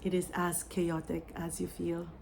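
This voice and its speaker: Filipino woman medium, lowish voice, unhurried meditative delivery, soft pauses, channeling tone. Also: monotone, creaky, slight background noise. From Philippines. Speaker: psychic medium. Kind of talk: oracle reading and channeled message.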